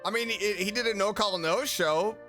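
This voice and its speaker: A man's voice: sits high, normal speed, music in the background. A man giving casual, playful playthrough commentary as a streamer, reacting to a game and reading its text aloud.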